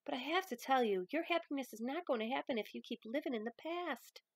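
A stern but loving grandmother, acted by a woman reading from a novel, speaks in a high voice. She is emphatic and folksy, handing out tough love with firm conviction, recorded dry. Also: expressive, fast talker, dry recording. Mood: stern, impassioned.

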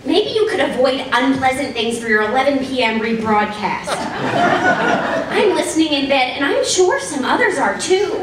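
Middle-aged woman, high voice, hammy indignant letter reading in a big echoey hall. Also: sing-song, moderate pace, distant mic, noisy background. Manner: exaggerated indignant reading, audience laughing. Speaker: stage reader. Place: stage in a large hall. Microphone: stand mic at a music stand.

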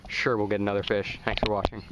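A young man gushes to his camera, breathless and excited. His voice sits a little low, and it is picked up from several steps away by the camera mic. Plenty of outdoor noise hangs behind him. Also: quick pace, recorded from a distance. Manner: breathless, excited, laughing often. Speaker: fishing vlogger. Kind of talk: excited outdoor fishing commentary. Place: outdoors on a pond bank. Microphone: camera on a tripod some distance away.

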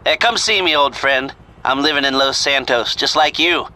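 An actor playing a sickly, sarcastic, secretive fixer in a video game. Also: male voice, mid-range voice, normal speed, bright, forceful tone. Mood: dry, knowing. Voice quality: sickly, coughing. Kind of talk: video game cutscene acting.

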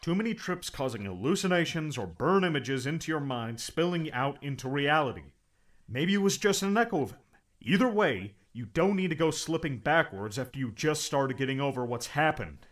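A man's voice: on the high side, lively intonation, moderate pace, natural voice. A man acting a stern, exasperated friend in an audiobook, blunt, scolding and insistent.

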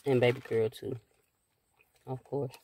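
A woman in her thirties talks into a phone held at arm's length inside a parked car. Her voice is gravelly and her delivery unhurried. She rambles in a relaxed way, with plenty of fillers. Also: very deep voice, a little hiss or hum. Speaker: vlogger. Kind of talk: casual car chat to camera.

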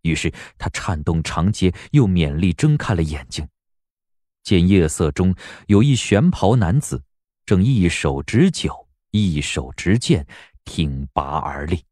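A man narrating a period audio drama in a measured, literary storytelling style. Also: sits low, sing-song, dark tone, no room sound, clean studio sound.

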